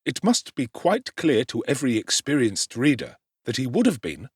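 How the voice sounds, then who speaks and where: Man reading a dramatic audiobook, super expressive pitch, husky voice, dry sound. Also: moderate pace, no room sound. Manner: expressive, theatrical storytelling. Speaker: audiobook narrator.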